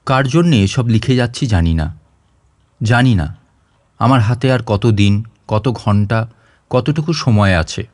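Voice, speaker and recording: A man's voice: mid-range voice, lively intonation, a little slow, pauses often, darkish tone, no room sound, clean studio sound. A man reading a scripted short story as an audio narrator.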